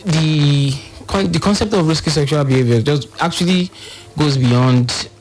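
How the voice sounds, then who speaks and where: A man with a Nigerian accent speaking as a radio panel guest, halting and searching for his words as he explains. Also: a little high, faint music behind, rough recording.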